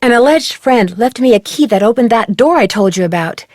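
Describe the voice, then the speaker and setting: A woman's voice: a little high, animated, brisk, a little mellow, dry recording, clean studio sound. A woman playing an earnest, inquisitive young amateur detective in a video game. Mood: explaining, earnest. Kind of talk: scripted game dialogue.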